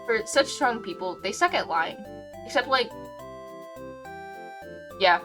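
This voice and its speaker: A woman's voice: a little high, expressive, takes it slowly, music in the background. A woman streaming a game with casual live commentary, chatty, gushing and self-deprecating. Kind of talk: casual live commentary while reading a visual novel.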